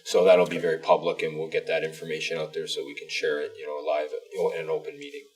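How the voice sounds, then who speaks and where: A man's voice: a bass voice, fast talker, far from the mic, poor audio. A man chatting casually as a school superintendent and co-host, in a rambling, folksy way that often doubles back. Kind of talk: casual chat.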